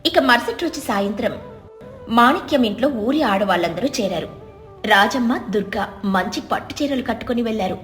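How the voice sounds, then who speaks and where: A woman's voice: fairly high pitch, expressive, moderate pace, a bit bright, soft background music. A woman reading a scripted moral story as a storyteller. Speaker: story narrator. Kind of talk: scripted narration of moral stories.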